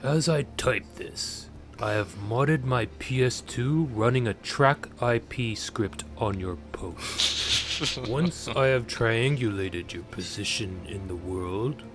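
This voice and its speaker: A man's voice: mid-range voice, over music, lightly acted. A man doing a smug menacing hacker. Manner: slow, theatrical threats, stifled laughs.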